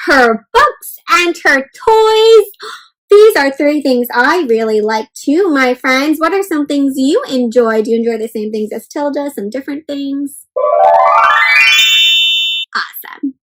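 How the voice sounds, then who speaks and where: High-pitched woman reading to kids. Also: slow pace, poor audio. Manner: animated, exaggerated expression with frequent questions to young listeners; addresses listeners directly as friends, encouraging. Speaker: children's read-aloud host. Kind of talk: interactive picture book read-aloud for kids.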